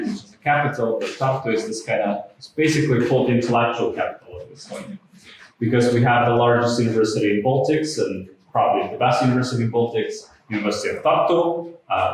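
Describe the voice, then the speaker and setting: A man's voice: mid-range voice, a little hiss or hum. A man giving a friendly, chatty, informal lecture. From Ukraine. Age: 30s. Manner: friendly, chatty, self-deprecating. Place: lecture venue. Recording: echoey hall, mic far off.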